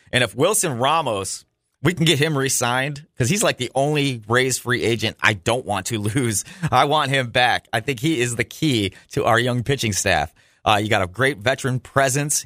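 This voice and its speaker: A man's voice: mid-range voice, bright, forceful tone, no echo. An American man talks sports as a radio host, in a dry studio sound with a mid-range voice. His delivery is casual and chatty, and he gives his opinions freely, like a fan behind the microphone.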